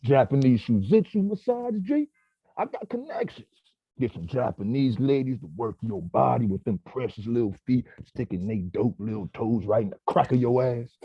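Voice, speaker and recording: An actor at a table read playing a slick street hustler pitching himself as a bodyguard, in a smooth salesman patter. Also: animated, moderate pace, no echo. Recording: dull, dry lo-fi audio.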